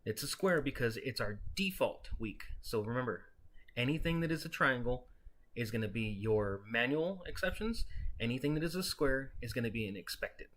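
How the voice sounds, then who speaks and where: A man with a medium-pitched voice walks through a piece of software as a tutorial presenter. He explains each step in a conversational, instructional manner, speaking directly and casually to the person following along. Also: lively intonation, moderate pace, low-quality recording.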